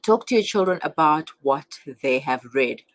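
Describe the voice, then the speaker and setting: A woman hosting a radio talk show, speaking in a somewhat hesitant, halting way. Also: low voice, lively intonation, no echo. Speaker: radio host.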